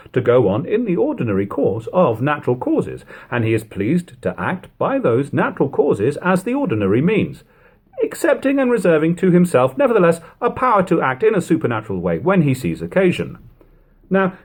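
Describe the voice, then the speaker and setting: A man reading an old literary text aloud in a measured, formal, emphatic way. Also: medium pitch, a little mellow, slightly rough. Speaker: audiobook reader.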